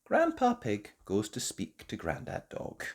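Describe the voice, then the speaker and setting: Slow, very expressive children's storyteller. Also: mid-range voice, gravelly. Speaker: storyteller and channel host. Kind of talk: children's story read aloud, expressive narration.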